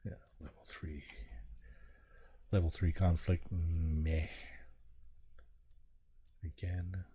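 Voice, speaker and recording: Low-voiced man muttering through a stream. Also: drawn-out words, slight background noise. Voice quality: low deep voice. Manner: relaxed, muttering, trails off with ums. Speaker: game streamer. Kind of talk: casual live-stream commentary. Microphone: close desk mic with pop filter.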